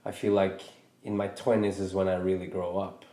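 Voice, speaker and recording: A man in his thirties from Norway talks slowly through his thoughts, circling back and restarting his sentences as he goes. His voice sits on the low side and sounds warm and mellow. The room around him is noticeably echoey. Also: flat delivery. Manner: thoughtful, hesitant with many restarts. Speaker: podcast host. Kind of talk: reflective casual conversation.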